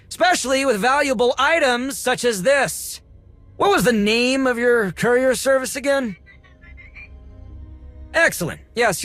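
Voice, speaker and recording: A fussy male droid collector, high in pitch and swooping dramatically from note to note. He is pompous and easily flustered, with the theatrical delivery of a video game cutscene. The recording is dry and close, over background music. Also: fairly bright tone, dry recording. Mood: eager, officious.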